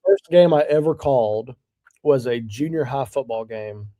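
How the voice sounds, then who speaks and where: A male guest with a warm, relaxed voice at an average pitch. He chats casually in an interview, easygoing and modest. The recording is dry, with no room sound. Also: moderate pace, no echo. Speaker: guest, stadium PA announcer.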